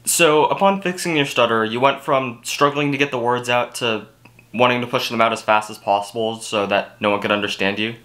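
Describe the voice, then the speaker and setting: A young man with a mid-pitched, bright voice plays the straight man in a comedy sketch, dry and matter-of-fact. He speaks from a couch in a living room. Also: fairly quick. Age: young adult. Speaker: sketch actor.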